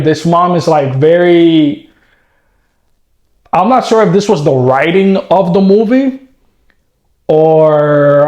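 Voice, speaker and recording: A man talks loosely to his viewers, restarting sentences and checking in with "right?" His voice sits fairly high. The room around him is echoey. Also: a little mellow. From Puerto Rico. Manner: rambling, restarts with "right?" and "bro". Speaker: horror movie reviewer. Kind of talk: casual rant to camera.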